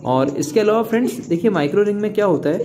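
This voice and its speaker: Male Indian-accented presenter, mellow and slightly high, explaining a service promotionally with English words mixed in. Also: animated, fast. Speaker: hair clinic presenter. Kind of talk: promotional demonstration narration.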